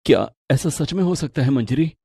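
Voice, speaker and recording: A man's voice: mid-range voice, animated, moderate pace, creaky, warm tone, husky, no echo, clean studio sound. A man playing an earnest, supportive young husband in a dramatic moral story.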